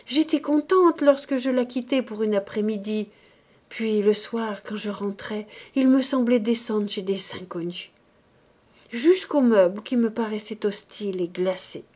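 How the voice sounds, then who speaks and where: A woman's voice: a little high, darkish tone. Woman acting distraught, feverish guilt-ridden wife. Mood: anguished, exalted. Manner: pleading, exalted, breaking into whispers. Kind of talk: dramatic audiobook character acting.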